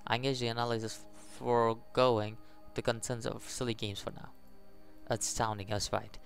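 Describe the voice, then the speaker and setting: A man hosting a gaming video, reading a visual novel aloud in a halting way, with casual commentary. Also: mid-range voice, flat delivery, gravelly, faint music behind. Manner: halting, stumbles over the text.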